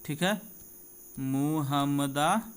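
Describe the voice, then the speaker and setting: A man's voice: fairly high pitch, slow pace, clear, resonant tone. A man with a Bihari accent teaching an informal exam-prep lesson, reading out quiz questions and explaining answers, often checking in with his students in a conversational way. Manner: conversational, addresses students directly with repeated check-ins.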